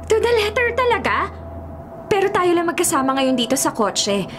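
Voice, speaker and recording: Very high-pitched bratty young daughter. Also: female voice, big pitch swings, moderate pace, noisy background. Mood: petulant, bossy. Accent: Filipino. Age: young adult. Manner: demanding, petulant. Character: spoiled, headstrong young daughter of a mayor. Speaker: radio drama actor. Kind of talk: radio drama acting with Taglish dialogue. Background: music.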